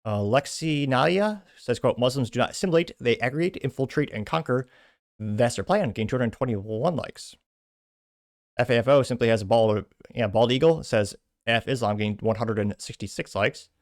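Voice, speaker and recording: A man's voice: mid-range voice, normal speed, no room sound, studio quality. A man hosting a commentary show, casually reading comments aloud with rambling asides and self-corrections. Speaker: host.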